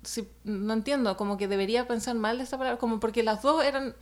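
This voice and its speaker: A woman's voice: fairly high pitch, steady tone, fast, crowd noise. A woman, an illustrator telling a personal story in a casual chat, hesitant with many fillers.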